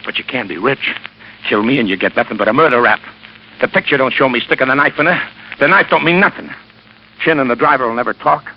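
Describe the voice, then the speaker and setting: A man's voice: mid-range voice, quick pace, close to an everyday voice. An actor playing a crooked, wheedling American gangster with slangy tough-guy talk in a 1940s radio drama. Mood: wheedling, nervous. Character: crooked, wheedling gangster villain, cocky then desperate. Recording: muffled, noisy old radio recording.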